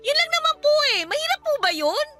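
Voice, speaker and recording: A woman's voice: very high voice, sing-song, quick pace, bright, forceful tone, soft background music, heavily acted. A young woman playing a dutiful, weary daughter in a Filipino radio drama, respectful and pleading but aggrieved. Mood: hurt, exasperated. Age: young adult.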